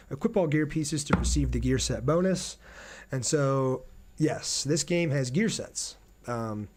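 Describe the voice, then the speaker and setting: Casual male game streamer, chatting along. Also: mid-range voice. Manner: chatty, thinks aloud, reads messages out. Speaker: game streamer reading viewer chat. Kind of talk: casual live gameplay commentary.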